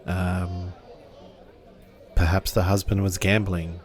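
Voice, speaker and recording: A man's voice: low-pitched, level tone, slow pace, some chatter behind. A man roleplaying a thoughtful, observant traveller in a samurai tabletop game, musing aloud in a hesitant, improvised way. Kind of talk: improvised in-character dialogue.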